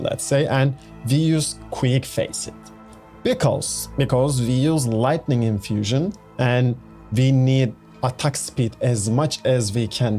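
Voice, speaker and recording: A man talks through a game build on his channel, unhurried and easygoing. His voice is soft and mellow in tone. He circles back, restarts sentences and fills gaps with "you know what I mean", over faint background music. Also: mid-range voice, creaky. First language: Turkish. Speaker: gaming channel host. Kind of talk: casual game build walkthrough.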